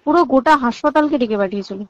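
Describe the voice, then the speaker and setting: A woman's voice: sits high, expressive, very fast, no echo. A young woman with a West Bengal Bengali accent talking emphatically in an informal recorded phone call, repeating phrases and mixing in English words. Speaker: hospital insider recounting events on a phone call. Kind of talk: informal private phone conversation.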